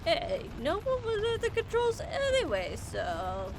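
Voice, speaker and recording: A woman's voice: very high-pitched, huge pitch swings, measured pace, heavy background noise, exaggerated voice. A woman playing a dry, defiant, sarcastic supervillain pilot in a comedic radio play. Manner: sardonic, matter-of-fact.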